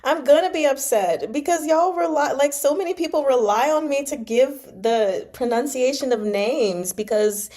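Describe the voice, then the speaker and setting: Female read-along host, relaxed dark-toned voice, casual and expressive delivery for novel reading and commentary. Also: sits high, moderate pace, few pauses. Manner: informal, slangy, expressive commentary. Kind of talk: reading a novel aloud with casual reaction asides.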